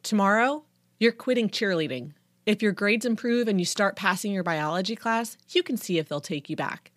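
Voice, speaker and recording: A woman voicing an angry, sarcastic middle-aged father in an audiobook, loud and domineering as he scolds his teenager. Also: medium pitch, animated, moderate pace, no room sound, clean studio sound, lightly acted. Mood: stern. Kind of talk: audiobook character dialogue.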